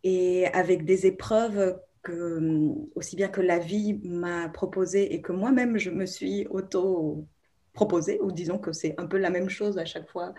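A woman in her forties speaks in an interview, unhurried and reflective. Her voice sits a little low and sounds dark and mellow, and she pauses often to find her words. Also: fairly even intonation. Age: 40s. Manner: slow, searching, many hesitations. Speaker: therapist guest.

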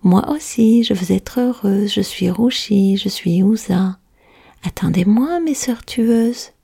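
A woman whispering a reborn spirit-girl, slow and husky. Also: medium pitch, dark tone, dry recording, studio quality, full character voice. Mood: plaintive. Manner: slow sing-song whisper. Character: murdered young woman reborn as a magic object, pleading.

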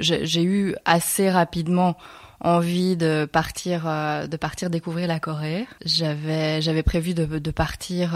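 A woman in her thirties with a low voice. She tells her story thoughtfully, stopping often to search for the right word. The sound is dry and close. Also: a little mellow, no room sound. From Belgium. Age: 30s. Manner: reflective, pauses often to find her words. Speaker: guest. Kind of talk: personal storytelling interview.

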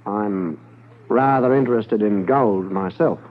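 An actor playing a shrewd, smooth-talking gold smuggler in a 1950s radio drama, guarded and deliberate. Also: male voice, lowish voice, natural voice. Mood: polite, probing. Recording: narrow-band vintage 1950s radio recording.

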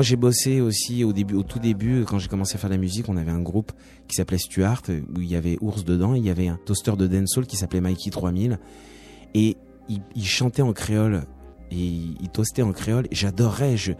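A man from France talking as a musician guest on a radio show, his voice low and mellow. He speaks loosely and chattily, restarting phrases often. Faint music sits behind him. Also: fairly quick. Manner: relaxed, chatty, many restarts and "tu vois". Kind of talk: casual interview talk.

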